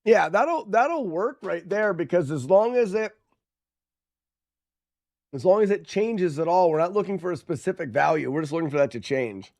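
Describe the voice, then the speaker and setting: A man's voice: high-pitched, lively intonation, moderate pace, a little mellow. A man live streaming a game in a casual way, chatting to viewers and thinking aloud as he goes. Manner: talks to chat, thinking aloud, muttering.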